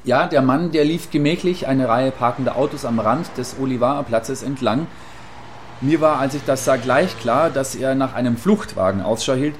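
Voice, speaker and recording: A man's voice: medium pitch, normal speed, soft background music, natural voice. A man playing an eager, deferential field reporter in a radio play, giving a slightly hesitant, chatty eyewitness account. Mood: matter-of-fact. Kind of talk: satirical radio play as live news report.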